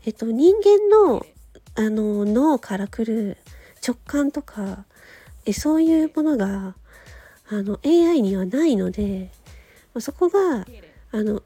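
Female solo radio host; high-pitched, mellow, breathy delivery, unscripted and conversational. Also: expressive, unhurried, dry recording. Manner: conversational, thinking aloud, frequent soft agreeing particles. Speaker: host of a solo audio show. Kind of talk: casual monologue on a chosen theme.

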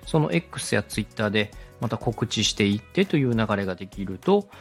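A man's voice: on the low side, animated, normal speed, faint music behind. A man giving a polite, step-by-step explanatory talk as a podcast host and instructor. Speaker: podcast host and radio director teaching podcast making.

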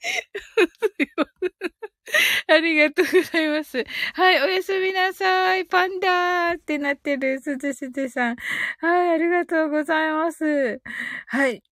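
A woman's voice: high voice, moderate pace, a bit bright, no echo, studio quality. A woman hosting a casual live audio stream, chatting politely with her listeners and often breaking into giggles.

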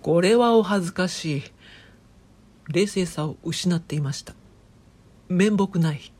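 A woman acts out an obsessive, excitable professor from a comic audio drama. The voice sits fairly low and is noticeably husky. The delivery is very unhurried, pompous and theatrical, with stiffly formal old-fashioned phrasing. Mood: sheepish. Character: eccentric, excitable professor obsessed with a phantom bird. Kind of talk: comedic audio drama acting.

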